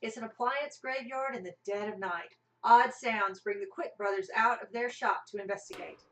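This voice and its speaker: A woman's voice: on the high side, normal speed, far from the mic. A woman reading scripted narration for an amateur live radio play. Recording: echoey room, off mic, music behind.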